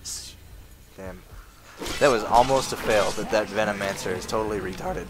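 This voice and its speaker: A man talking casually over his gameplay footage, rambling with lots of fillers, his voice going hoarse. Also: fairly low pitch, moderate pace, background noise. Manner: rambling, full of uh fillers, chatty. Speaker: gaming video maker. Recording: game music playing underneath. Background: game music.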